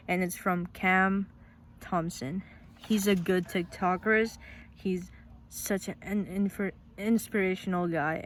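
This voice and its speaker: Earnest vlogger, mid-pitched voice, casual talk, faint road noise behind. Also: fairly bright tone. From Philippines. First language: Tagalog. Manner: earnest, frequent restarts and repeated words. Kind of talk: casual heartfelt vlog talk.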